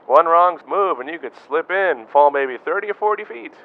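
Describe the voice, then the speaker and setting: Male actor as eager on-scene reporter: fairly high, excitable, phone-thin sound. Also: normal speed. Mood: excited. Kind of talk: amateur radio drama acting. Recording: tinny phone-like line.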